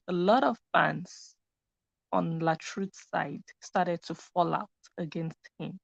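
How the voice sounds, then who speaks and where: Woman streamer, low voice, dull lo-fi sound. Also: gravelly, no room sound. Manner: chatty, talks to viewers directly. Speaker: commentator and live stream host. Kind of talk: casual live stream commentary. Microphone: computer mic.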